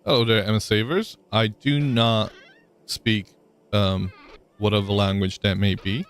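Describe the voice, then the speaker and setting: A man's voice: lowish voice, steady tone, unhurried, smooth. A man live-streaming a game, chatting casually, thinking aloud with frequent ums and answering viewers in chat.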